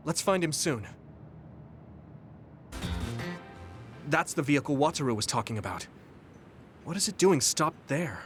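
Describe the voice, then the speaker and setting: A man playing an earnest, caring young soldier in a video game, speaking sincerely and clearly. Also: fairly high pitch, very animated, brisk. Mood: resolute, puzzled. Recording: background music under dialogue. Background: game soundtrack music.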